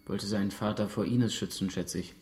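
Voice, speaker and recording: An actor playing a defiant, evasive uncle under interrogation in a naturalistic radio drama, answering curtly. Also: male voice, fairly low pitch, steady tone, moderate pace, darkish tone, natural voice. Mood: guarded, curt.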